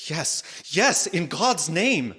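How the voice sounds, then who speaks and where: A man playing a frightened young English gentleman prisoner, giving formal, dramatic diary narration in a gothic audio drama. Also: fairly high pitch, big pitch swings, slow, slightly rough, full character voice. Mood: desperate. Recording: music underneath the voice.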